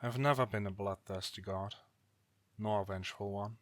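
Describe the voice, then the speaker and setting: A man's voice: fairly low pitch, steady tone, fairly bright tone, no echo, lightly acted. A man playing an ancient god in an audio drama, a bitter, weary brother who speaks formally and solemnly without remorse. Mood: weary, justifying. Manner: formal archaic diction, grave, deliberate. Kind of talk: dramatic audio roleplay acting.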